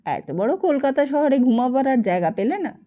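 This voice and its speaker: A woman narrating a literary novel as an expressive audiobook storyteller. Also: high-pitched, moderate pace, dull audio.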